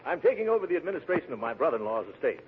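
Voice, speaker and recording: Gluttonous pompous big man, blustery, theatrical, highish lively pitch, 1940s radio comedy, noisy narrow-band recording. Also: very fast. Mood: jovial, pompous.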